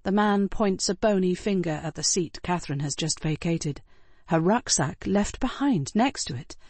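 A woman reading scripted first-person fiction as an audiobook narrator, in an expressive, dramatic way. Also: lowish voice, normal speed, husky, no echo.

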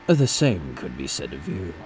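A man's voice: extremely low pitch, sing-song, normal speed, darkish tone, soft background music, poor audio. A man playing a composed young royal advisor in a fan audio drama, formal, precise and drily wry.

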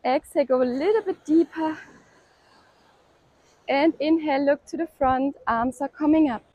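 A woman leading a home yoga workout, calmly cueing breaths and poses and encouraging the listener to keep going. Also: high voice, moderate pace, dry recording, dull audio. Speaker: yoga instructor. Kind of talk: guided workout instruction.

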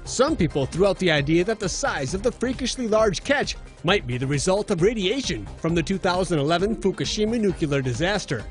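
High-pitched, animated male news narrator. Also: brisk, few pauses, soft background music. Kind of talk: scripted narration of viral news.

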